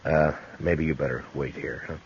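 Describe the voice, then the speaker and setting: A low-voiced frontier lawman, grave and sparing with words, in vintage radio western style. The sound is muffled and clearly noisy, like an old broadcast with clicks. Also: steady tone, moderate pace. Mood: grave, tense. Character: sober, plain-spoken lawman of the Old West. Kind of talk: 1950s radio western acting.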